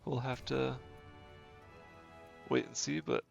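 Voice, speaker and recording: A man with a cold, his nose stuffy, talks through a strategy game in easygoing commentary, thinking aloud and correcting himself as he goes. His voice is gravelly, with heavy fry, at a middle pitch. The stream audio sounds dull and lo-fi, with faint game music behind him. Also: fairly even intonation, slow. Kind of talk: casual gameplay commentary.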